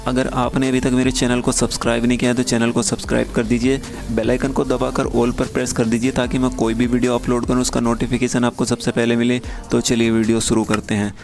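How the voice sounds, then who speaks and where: A man's voice: mid-range voice, flat delivery, fast talker, vocal fry, a little mellow, soft background music. A young man from Uttar Pradesh chatting casually as he walks viewers through a screen recording of his messages. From Uttar Pradesh, India. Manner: chatty, talks straight to viewers. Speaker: YouTuber giving chat tips.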